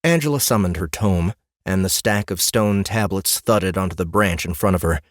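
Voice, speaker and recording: A man's voice: low voice, moderate pace, dry recording, clean studio sound. A man narrating a fantasy novel as an audiobook, reading scripted story prose.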